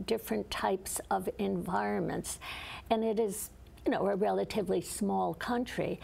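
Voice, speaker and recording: Middle-aged woman, heavy vocal fry, earnest interview answers with lots of ums. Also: mid-range voice, darkish tone. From Connecticut, USA. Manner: earnest, with frequent fillers and restarts. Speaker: author and geologist, guest.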